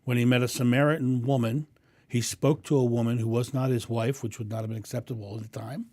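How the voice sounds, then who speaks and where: A man with a booming voice hosting a live stream, answering viewers' questions in a rambling, animated way and acting out thoughts. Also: quick pace, dry recording, studio quality. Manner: animated, acts out inner thoughts with raised voice; rambling with frequent ums and restarts. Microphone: condenser mic through a mixing board.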